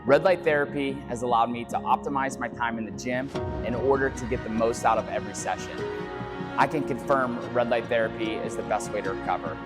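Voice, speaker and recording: A man with a mid-range voice reads a scripted testimonial for an advert. Loud music plays beneath him. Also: mid-range voice, a little fast. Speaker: advert spokesman.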